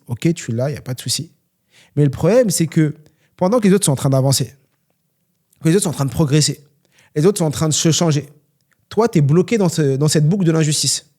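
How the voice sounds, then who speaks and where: A man's voice: fairly high pitch, darkish tone. A young man from France, talking earnestly and motivationally on a solo question-and-answer podcast, with many fillers. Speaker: podcast host and trainer.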